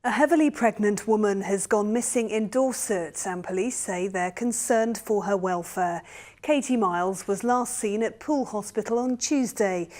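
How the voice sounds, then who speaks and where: A British woman presents the news from a script. Her pitch sits in the middle of the usual range for women, and she reads as a regional bulletin anchor. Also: a little mellow.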